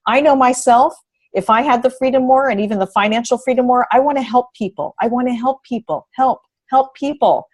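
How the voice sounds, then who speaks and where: A woman's voice: on the high side, fairly quick. A woman panelist making casual, unscripted remarks, effusive and repeating phrases for emphasis.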